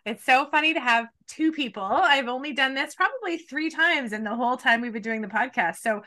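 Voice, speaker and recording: Upbeat woman podcast host, bright voice. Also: sits high, a little fast. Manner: upbeat, chatty, laughs often. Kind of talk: casual podcast interview.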